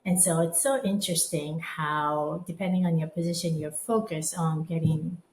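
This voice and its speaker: A woman's voice: low-pitched, steady tone, moderate pace, darkish tone. A woman speaking as a podcast guest, reflective and thoughtful, often murmuring agreement as she listens. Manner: thoughtful, frequent affirming murmurs. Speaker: guest, design firm co-managing director. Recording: echoey room with faint background noise.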